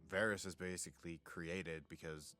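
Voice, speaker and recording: A man's voice: sits low, fairly even intonation, normal speed, pushed and bright. A man explaining a game's story conversationally in a documentary-style interview. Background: faint music.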